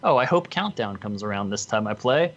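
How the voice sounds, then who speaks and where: A man with a fairly low voice speaks as a podcast guest. He keeps to informal, conversational gaming talk. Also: lively intonation, a little fast, a bit bright, muffled recording. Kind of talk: casual gaming chat.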